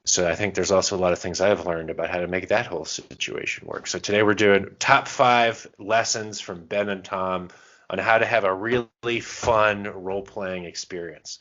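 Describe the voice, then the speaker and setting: A man's voice: deep voice, fairly even intonation, normal speed, fairly bright tone, dull audio. A man co-hosting a podcast in casual chat, bantering in a jokey, self-deprecating way. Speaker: podcast co-host.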